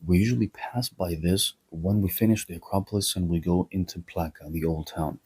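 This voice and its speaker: A man's voice: low-pitched, fairly even intonation, fairly quick, a little mellow, husky. A man hosting a history video, giving a sarcastic, opinionated commentary with wry asides. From Greece.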